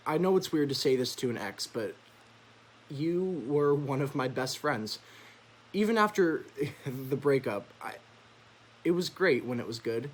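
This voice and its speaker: A man playing a heartfelt, awkward young ex-boyfriend, speaking haltingly in a drama monologue. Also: medium pitch. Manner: halting, stammering repeats. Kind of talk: one-act play letter monologue.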